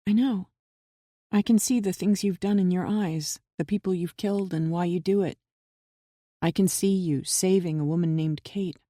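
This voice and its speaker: A woman voicing a calm, intense young woman who sees dark visions, speaking with grave, matter-of-fact firmness in an audiobook. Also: fairly low pitch, moderate pace, dry recording, clean studio sound, close to an everyday voice. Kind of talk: audiobook character reading.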